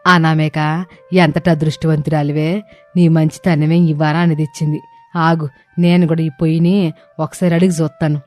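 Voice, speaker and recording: A woman's voice: low-pitched, flat delivery, moderate pace, darkish tone, faint music behind, lightly acted. A woman playing a proud older village mother-in-law in a moral story, speaking in emphatic, familiar phrases. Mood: admiring, excited. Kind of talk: acted moral story dialogue.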